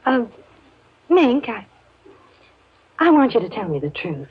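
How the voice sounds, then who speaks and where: A woman's voice: on the high side, sing-song, takes it slowly, breathy edge, full character voice. A woman playing an anxious, doting suburban mother in 1950s radio drama style, speaking hesitantly and trailing off. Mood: anxious, evasive. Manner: hesitant, breaking off mid-sentence. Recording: muffled, noisy 1950s radio recording.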